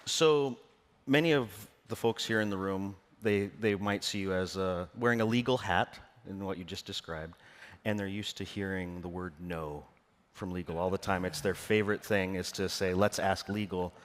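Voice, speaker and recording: Deep-voiced male moderator in a hall. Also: normal speed. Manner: conversational, joking with the audience. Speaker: interviewer, panel moderator. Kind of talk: panel interview questions. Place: stage in a conference room. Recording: long reverb of a large hall.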